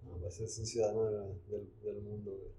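Laid-back Mexican podcast host. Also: male voice, fairly low pitch, flat delivery, brisk, warm tone. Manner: laid-back, constant slang fillers. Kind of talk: casual conversation.

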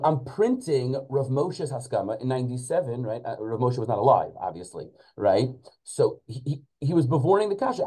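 A man in his forties speaks in a deeply soft-edged, mellow tone at a middling pitch. He is an interview guest reminiscing, and he feels his way through each thought, stopping and backtracking as he hunts for words. Hebrew and Yiddish religious terms are woven through his talk. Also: low-quality recording. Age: 40s. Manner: halting, searching for words, backtracking. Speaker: podcast guest, school principal and rabbi.

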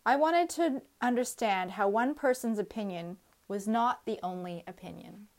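A woman in her thirties reads a personal essay aloud. Her delivery is earnest and reflective, with measured pacing, and her voice sits at a middle pitch. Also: a little mellow. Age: 30s.

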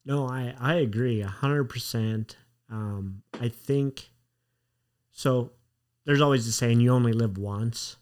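A man hosts a podcast in a relaxed, easygoing way. His voice sits at a middle pitch. He offers short, encouraging replies and plain reflections. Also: slow pace. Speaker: podcast host. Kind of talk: casual interview chat.

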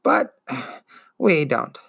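Man doing an ancient female swamp turtle, wheezing, gurgling, slow drawn-out indifferent speech, gravelly. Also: a little high, sing-song, a bit bright, heavily acted. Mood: weary, indifferent.

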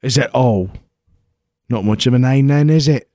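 A man's voice: medium pitch, moderate pace, warm tone, no room sound, clean studio sound, close to an everyday voice. A man playing a cheeky, chatty peasant in a comic radio play. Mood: blunt, chatty. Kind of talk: 1940s-style radio play acting.

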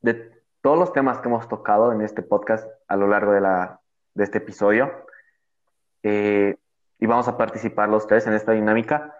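A young man with a Bolivian Spanish accent hosting a podcast, joking and laughing easily. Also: fairly low pitch. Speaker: podcast host. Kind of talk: casual podcast chat. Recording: dull lo-fi sound.

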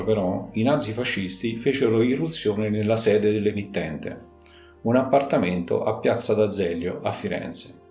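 A man narrates history from a script. His voice sits on the low side. The recording has noticeable room echo, with faint music underneath. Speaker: podcast contributor telling a war history.

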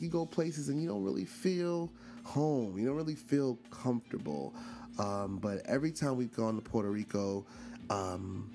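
A man in his forties from Brooklyn tells a rambling story in a relaxed, conversational way. He talks with plenty of fillers and restarts and keeps checking in with his listeners. His pitch sits in a middle range for a man, and soft music plays faintly behind him. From Brooklyn, New York. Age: 40s. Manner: chatty, frequent fillers and restarts, asks listeners questions. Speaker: podcast host. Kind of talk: casual storytelling monologue.

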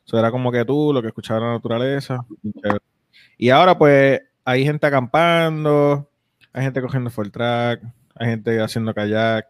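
A man's voice: mid-range voice, normal speed, clear, resonant tone, dry recording. Young guy rambling on his podcast, lots of "este" and restarts, dry close sound. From United States. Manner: rambling, many fillers and restarts. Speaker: podcast host. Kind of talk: casual podcast chat.

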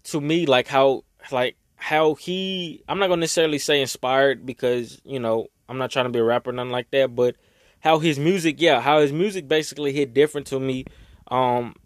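A young man talking casually and emotionally to his viewers, rambling and swearing, his voice raspy from crying. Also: medium pitch, fairly quick, no room sound, studio quality. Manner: rambling, swearing, heartfelt, Southern US slang. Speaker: vlogger. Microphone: own microphone.

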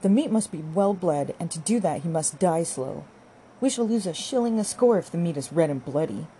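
A woman voicing a brisk, hard, scornful young farm wife who scolds and orders in an audiobook. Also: fairly low pitch, lively intonation, warm tone, a little hiss or hum. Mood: bossy, instructing. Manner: scolding, bossy, rustic turns of phrase. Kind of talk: audiobook character dialogue.

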